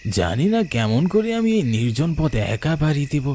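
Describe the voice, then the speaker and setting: A man's voice: on the high side, very animated, normal speed, dark tone. A man voicing an older villager who recounts a ghost encounter from his youth in a suspenseful storytelling manner for a cartoon. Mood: uneasy, suspenseful. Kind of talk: dramatic ghost story narration. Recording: noisy night ambience with chirping crickets.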